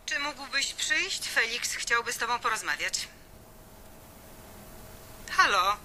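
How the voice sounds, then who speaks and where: An actress playing an impatient office secretary in a radio drama, hurrying a coworker along. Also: female voice, on the high side, expressive, takes it slowly, pushed and bright, a little hiss or hum, full character voice, low-quality recording. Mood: insistent.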